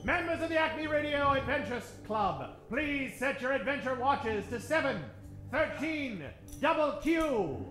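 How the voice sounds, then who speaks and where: A man's voice: very high voice, roomy sound. A man hosting a live radio-theater show, announcing in a grandiose, theatrical old-time radio style. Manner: grandiose showman delivery. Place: live stage before an audience.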